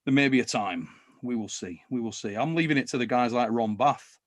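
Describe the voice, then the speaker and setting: A man hosting a casual live video-call chat, talking in a matey, drawn-out way. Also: medium pitch. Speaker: host.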